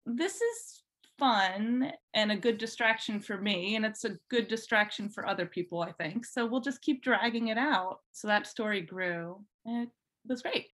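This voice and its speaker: A woman's voice: a little high, a bit bright. A woman chatting informally as an interview guest, telling her own story with lots of "like" along the way. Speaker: podcast guest, fan fiction writer. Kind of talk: casual chat, telling personal story.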